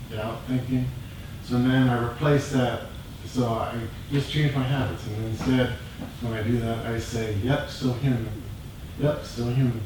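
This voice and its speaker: A man speaking casually and reflectively as a group member, in a halting, plainspoken way, acting out his own self-talk. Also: medium pitch. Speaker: group member sharing in a dharma discussion. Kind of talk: reflective casual personal sharing. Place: meditation group meeting room. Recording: echoey room, distant mic, clear noise.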